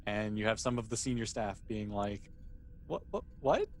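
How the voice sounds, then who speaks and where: A man running a tabletop game as game master, narrating casually and haltingly as he thinks aloud. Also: lowish voice, expressive, darkish tone, slight background noise.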